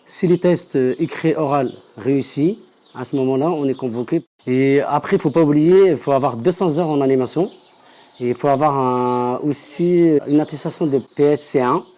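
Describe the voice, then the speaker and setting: A man in his thirties answers interview questions, walking through a process step by step. His voice is mellow and dark, with a halting, careful delivery. He sounds like a social youth worker in training. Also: medium pitch. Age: 30s. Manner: hesitant, explaining step by step.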